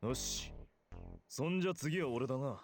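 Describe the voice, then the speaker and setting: A man playing a cocky, fast-talking nightclub charmer. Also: mid-range voice, close to an everyday voice. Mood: cocky, flirtatious. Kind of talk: Japanese visual novel game voice acting. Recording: game audio with music behind.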